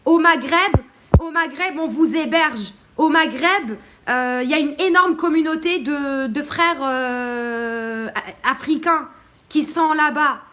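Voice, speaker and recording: High-voiced, outspoken woman streamer. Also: takes it slowly, a bit bright, slight background noise. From Morocco. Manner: outspoken and blunt, with many hesitations. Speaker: live streamer addressing viewers. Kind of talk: opinionated live-stream monologue.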